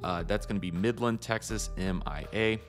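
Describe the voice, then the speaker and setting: A man's voice: fairly low pitch, normal speed. An American man hosting a hobby channel, giving an upbeat, conversational voice-over in a casual vlog style. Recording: music behind the voice.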